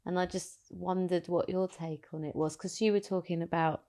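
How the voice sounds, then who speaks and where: A woman's voice: lowish voice, fairly even intonation, moderate pace, warm tone. A woman hosting a casual chat, asking questions in a hesitant, chatty way. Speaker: host.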